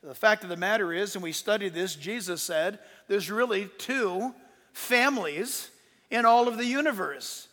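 A man preaching a sermon as pastor, emphatic, rising into loud bursts and dropping low for asides. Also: moderate pace. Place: church sanctuary. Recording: long reverb of a hall.

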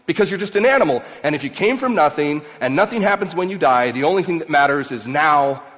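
An emphatic man who grew up in Mexico and Ecuador addresses a live audience. His voice sits a little higher than most men's and swings from forceful to hushed. A long hall reverb trails each phrase. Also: animated, fast. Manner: impassioned, emphatic, swings between loud and quiet. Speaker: speaker. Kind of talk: speech to an audience.

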